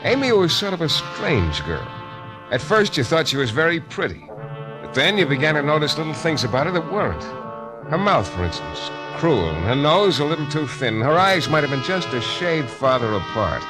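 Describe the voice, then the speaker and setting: An actor playing a wry, cocky, hard-boiled private detective in an old-time radio drama. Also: male voice, mid-range voice, big pitch swings, normal speed, music in the background, close to an everyday voice, poor audio. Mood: wry narration. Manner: wry, sardonic.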